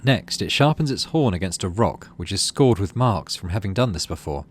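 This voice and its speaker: A man's voice: low voice, animated, moderate pace, breathy edge. A man doing let's play commentary on a game, reading narration aloud and thinking through choices in tentative asides. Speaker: gaming video host.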